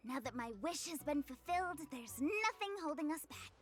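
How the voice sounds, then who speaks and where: A woman voicing a playful young woman diviner in a video game, chirpy, breezy and excitable. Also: sits high, normal speed, faint music behind. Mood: playful, excited. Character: playful, slacking young woman diviner obsessed with tile games.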